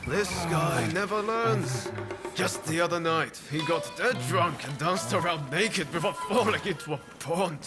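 A teasing young ronin, laid back n slow, higher voice, game cutscene music behind. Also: male voice. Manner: relaxed, teasing. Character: jovial, teasing young ronin, easygoing friend. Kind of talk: video game cutscene acting.

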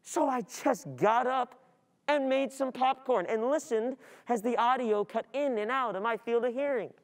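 A young woman playing an overwhelmed, easily distracted student in a theatrical stage monologue, speaking in a halting, confessional way. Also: fairly high pitch, lightly acted. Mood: restless, frustrated. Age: young adult. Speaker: stage actor.

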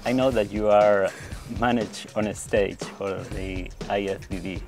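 A man's voice: lowish voice, steady tone, unhurried, darkish tone. A middle-aged man interviewing a guest outdoors, asking brief, polite questions in English. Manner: brief, polite prompts with short acknowledgments. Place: outdoor terrace, hillside view. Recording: music clearly heard under the voice.

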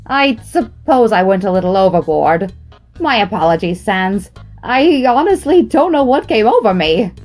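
A woman's voice: mid-range voice, sing-song, moderate pace, darkish tone, soft background music, lightly acted. A woman voicing a boastful, easily flustered young male skeleton brother in an audio drama, speaking in an emphatic, theatrical way. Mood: earnest, apologetic. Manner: theatrical, emphatic, stammers when nervous. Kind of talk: amateur audio drama acting.

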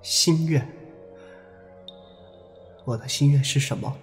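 A man playing a gentle, wistful young man in love in an audio drama, speaking softly and earnestly. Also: fairly high pitch, takes it slowly. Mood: longing. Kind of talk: Chinese audio drama acting. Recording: reverberant, with music behind.

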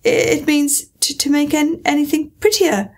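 A woman's voice: high-pitched, measured pace, voice pushed up. A woman doing a prim, curious little girl.

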